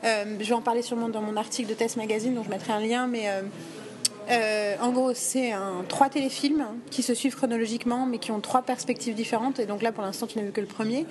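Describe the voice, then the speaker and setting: A woman's voice: on the high side, fairly even intonation, fast talker. A woman hosting a casual podcast conversation in a chatty, informal way. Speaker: podcast host. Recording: busy crowd chatter around the voices. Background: crowd of people talking.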